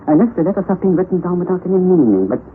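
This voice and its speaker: An actor playing an eccentric, excitable, scholarly German professor of about fifty in a radio drama, theatrical and instructing. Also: male voice, sits high, rapid-fire, narrow, tinny audio. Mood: pondering, excited. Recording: noisy, thin, band-limited old radio recording.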